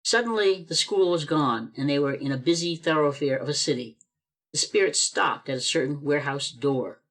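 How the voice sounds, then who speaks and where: A man narrating an amateur radio play with expressive storytelling, read over a video call. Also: fairly high pitch, moderate pace.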